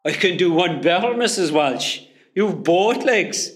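An actor with a West Cork Irish accent playing a cheeky, teasing young hospital worker in a comedy radio play. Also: male voice, high-pitched, lively intonation, measured pace. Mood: teasing, reassuring. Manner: teasing, jokey.

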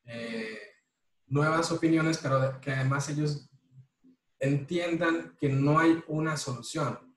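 A man's voice: a little high, echoey room, poor audio. A man, an economics professor, chatting relaxedly in an interview and often repeating words as he thinks. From Mexico. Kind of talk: conversational interview.

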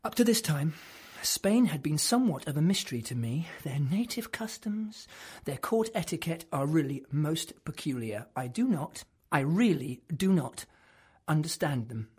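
A woman acting a deluded male clerk who believes he is king, in a dramatic monologue that swings between hushed whispers and loud outbursts. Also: low voice, big pitch swings, normal speed, husky, full character voice. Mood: indignant.

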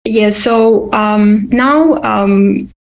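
A woman with a Ukrainian accent answers interview questions as an attorney. Her voice sits at a middle pitch, and her answers come in halting stretches full of fillers and short laughs. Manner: halting, many fillers, laughs between phrases. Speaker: immigration attorney.

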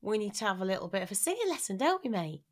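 A woman's voice: mid-range voice, sing-song, rapid-fire. A woman and former opera singer co-hosting a casual podcast, chatty and emphatic as she explains things. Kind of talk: casual chat.

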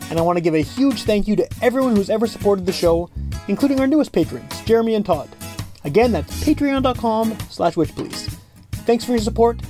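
A podcast host gives a lively promotional read, asking listeners to support the show and thanking patrons. Music plays clearly underneath the voice. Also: mid-range voice, a little fast. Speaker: podcast host reading ads.

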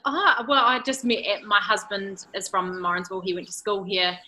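A woman's voice: mid-range voice, steady tone, normal speed, bright, forceful tone, slight background noise. A woman, a podcast guest telling her background in a friendly, fluent way. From New Zealand. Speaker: guest, health business co-founder. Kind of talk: conversational interview answers.

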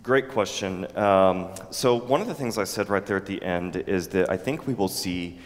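A man with a very low voice teaches a theology class to a live audience. He speaks in a halting way, full of ums and restarts. The sound carries a long reverb, as in a large hall. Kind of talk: theology lecture with audience questions.

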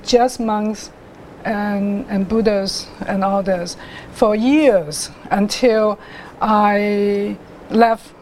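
A middle-aged woman, telling her life story as a guest artist in an interview, haltingly, with many restarts and pauses. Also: mid-range voice, darkish tone, a little hiss or hum, low-quality recording. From Hong Kong.

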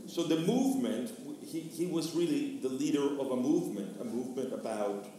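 Mellow man in a very echoey room. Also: mid-range voice. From Venezuela. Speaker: panelist, theatre artist discussing a play. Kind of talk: thoughtful discussion. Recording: distant mic, echoey room, faint noise.

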